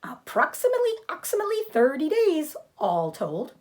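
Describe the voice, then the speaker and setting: A breezy, blunt mother goose from a storybook, played by a woman. She pitches high and chatters animatedly, gabbling and repeating her syllables. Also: wildly swooping pitch, a little fast. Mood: chatty. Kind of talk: storybook character voice.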